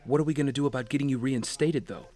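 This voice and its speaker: A man's voice: mid-range voice, fast talker, dark tone, close to an everyday voice. An actor playing a serious, determined man protective of his sister, in a naturalistic audio drama style. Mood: apologetic, concerned. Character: guilt-ridden, determined brother tracking his sister, protective and earnest.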